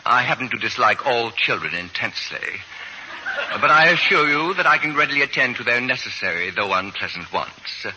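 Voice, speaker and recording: An actor playing a pompous, fussy genius of a live-in sitter in 1940s American radio comedy, with precise, formal, disdainful diction. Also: male voice, medium pitch, expressive, brisk, lightly acted. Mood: haughty disdain. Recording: vintage radio broadcast, faint noise.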